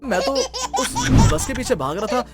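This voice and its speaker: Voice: sits high, huge pitch swings, a little slow, over music, full character voice. A performer voicing Pradeep, a sneaky young husband who keeps three wives secret from one another and wriggles out of every tight spot, in a cartoon story. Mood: evasive. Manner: sneaky, talks his way out of trouble. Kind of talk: cartoon voice acting.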